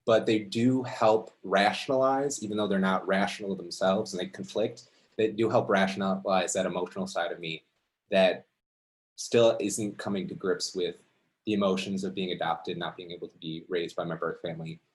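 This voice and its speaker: A man telling an unscripted personal story to an audience in a halting, conversational, reflective way. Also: sits low, steady tone. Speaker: amateur storyteller at a story slam.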